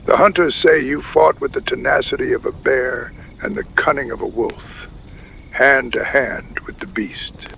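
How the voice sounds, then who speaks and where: Male actor as dignified chief, slow stately speech, gravelly husky voice, solemn, audio drama over music. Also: medium pitch, sharp tone, slight background noise, heavily acted. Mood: solemn, welcoming. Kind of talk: audio adventure drama acting.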